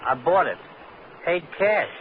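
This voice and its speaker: Chummy streetwise cabbie, higher-pitched. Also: male voice, animated, measured pace. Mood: sheepish. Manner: slangy, ungrammatical, deferentially chummy. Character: chummy, shifty streetwise male cab driver. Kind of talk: old-time radio comic character acting. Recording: noisy, tinny vintage radio recording.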